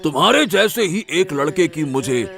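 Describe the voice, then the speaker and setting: A man plays a kindly older cartoon figure with a raspy, gravelly voice. The pitch is fairly high and swings widely, and the manner is soothing and gently probing. Music sits softly behind. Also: animated, normal speed. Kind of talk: Hindi moral-story cartoon dubbing.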